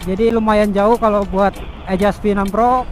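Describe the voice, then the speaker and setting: A man's voice: sits high, steady tone, normal speed, slight background noise. A man, explaining gear casually like a motovlogger over a helmet intercom. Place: outdoors, riding a motorbike on the road. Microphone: helmet intercom headset. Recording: intercom headset with background music.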